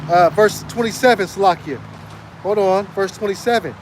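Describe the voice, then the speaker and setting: A man preaching outdoors in an emphatic street sermon style, repeating lines and checking in with "right?", his voice going hoarse. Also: high-pitched, normal speed, a little hiss or hum, rough recording.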